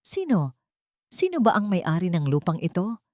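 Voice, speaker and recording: A woman voicing a frail, humbled old grandmother, soft and tender, in an audio story. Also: on the low side, sing-song, normal speed, no echo, studio quality. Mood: uneasy.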